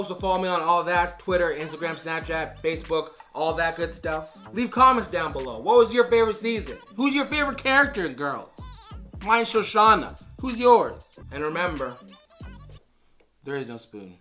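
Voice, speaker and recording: A hoarse, raspy man who is losing his voice talks straight to camera, reviewing a show in an animated, rambling vlog style. His tone is bright and forward even through the rasp. He keeps restarting his sentences, and faint music plays under him. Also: high-pitched, moderate pace. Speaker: TV review vlogger. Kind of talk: casual opinionated review to camera. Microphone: on-camera shotgun mic.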